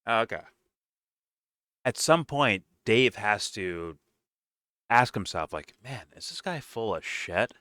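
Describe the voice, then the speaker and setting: A young man in his twenties reacts to a clip in animated, casual commentary that is peppered with swearing. His voice sits a little low but has a forceful edge. The sound is dry and close. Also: takes it slowly, pauses often, dry recording. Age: 20s. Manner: animated, swearing, laughing often. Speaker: reaction show host.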